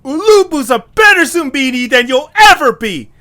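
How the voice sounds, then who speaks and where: A man's voice: extremely high pitch, sing-song, moderate pace, pushed and bright, pitched above the performer's own voice, full character voice. A man voicing an indignant, earnest young male creature in an amateur audio drama, with strident, exasperated delivery. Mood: furious.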